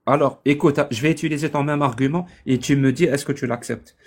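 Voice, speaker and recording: A man speaks firmly in a live online debate, hammering his point with repeated, pointed questions. His voice sits at a middle pitch. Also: fairly even intonation, a little fast, a little mellow. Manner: insistent, pressing questions.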